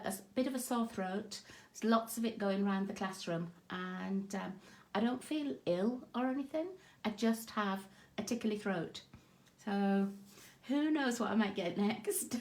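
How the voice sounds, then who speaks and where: A woman's voice: mid-range voice, a little mellow. A woman with a scratchy sore throat, hosting a casual live craft tutorial at her desk and greeting viewers by name. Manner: chatty, greets viewers by name, self-deprecating. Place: craft desk indoors, overhead camera on hands.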